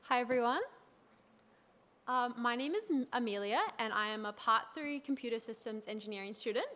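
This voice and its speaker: Young woman student presenter, a touch high, conversational. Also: brisk, a bit bright. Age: young adult. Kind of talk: presenting to an audience.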